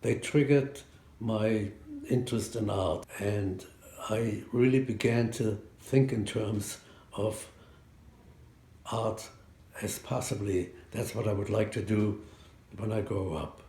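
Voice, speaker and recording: An older man in his nineties, born in Hungary, sits at home and recalls his boyhood at a slow, thoughtful pace. His voice sits low and soft-toned. The room adds a noticeable echo. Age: 90s. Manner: slow, reflective recollection. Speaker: Holocaust survivor telling his story. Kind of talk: recorded life-story interview. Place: home room with a framed poster. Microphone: seated, framed from chest up.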